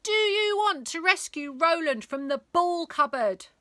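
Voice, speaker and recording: A woman's voice: very high voice, lively intonation, a little slow, pushed and bright, no room sound, studio quality, pitched above the performer's own voice, full character voice. A woman voicing a rebellious schoolgirl ringleader in a storybook, urgent and rallying. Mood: urgent, rousing.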